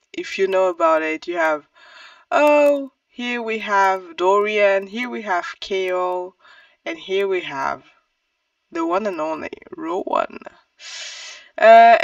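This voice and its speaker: A casual book reviewer thinks aloud in a slow, hesitant flow, often correcting course. The pitch swings widely and drops into a gravelly creak. The recording sounds a little distant from the mic. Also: fairly bright tone, no echo, muffled recording. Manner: halting, hesitant, self-correcting. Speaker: book reviewer on own channel.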